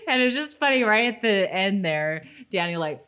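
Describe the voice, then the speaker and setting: A woman's voice: medium pitch, expressive, moderate pace, a bit bright, smooth. A woman co-hosting a rewatch podcast, moving between casual, giggly chat and scripted recap narration. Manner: giggly, breaks into laughter often. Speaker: podcast co-host.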